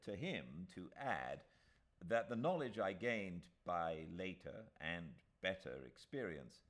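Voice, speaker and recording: A British man reading a classic novel aloud as an audiobook narrator, with measured, expressive phrasing. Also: extremely low pitch. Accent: British English. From Britain.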